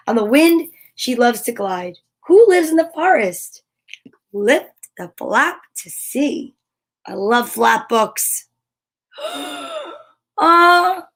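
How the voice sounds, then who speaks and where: A woman with a fairly high voice reads picture books aloud for a children's story time. She takes it very slowly, with expressive exclamations and casual, chatty asides to whoever is watching. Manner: expressive, gushing exclamations and gasps; chatty, self-interrupting asides to viewers.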